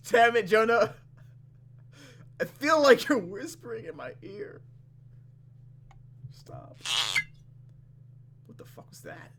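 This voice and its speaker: A man's voice: extremely high pitch, expressive, slow, pauses often, noisy background. A man hosting a let's play, casually and expressively commenting and swearing as he reads visual novel text aloud.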